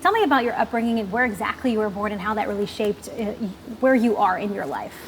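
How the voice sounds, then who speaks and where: A woman hosting a podcast-style interview, making bright introductions and affirming her guests often. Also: medium pitch, quick pace, a little hiss or hum. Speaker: host.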